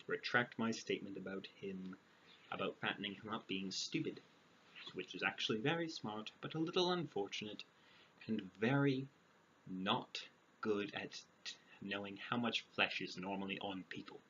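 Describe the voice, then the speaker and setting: A man reading a fairy tale aloud, breaking in with dry, sarcastic deadpan asides. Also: fairly low pitch, moderate pace. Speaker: storyteller. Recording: dull lo-fi audio, clear background noise.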